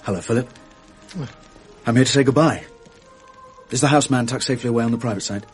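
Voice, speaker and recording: A man's voice: mid-range voice, fast talker, faint music behind, natural voice. An actor playing a wistful, intense English public schoolboy in his late teens, earnest and languid, in a radio drama. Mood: fond, wistful. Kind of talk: 1980s radio drama acting.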